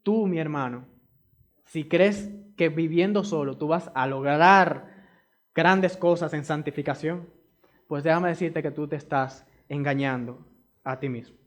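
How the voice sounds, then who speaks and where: Male preacher, high voice, emphatic delivery, repeats his lines to the flock. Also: measured pace. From Dominican Republic. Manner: emphatic, repeats key phrases; addresses the congregation directly. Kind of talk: sermon.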